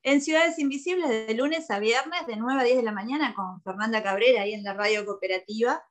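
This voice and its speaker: A woman's voice: fairly high pitch, fairly quick. A woman with a Uruguayan accent, a radio co-host and comedian, chatting casually as the guest in a radio interview.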